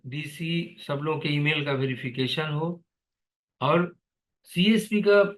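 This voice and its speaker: A man chairing an online meeting, calmly explaining instructions to staff over a video call. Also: on the high side, poor audio. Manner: measured, instructive. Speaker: senior organizer chairing a meeting. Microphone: computer or phone on a video call.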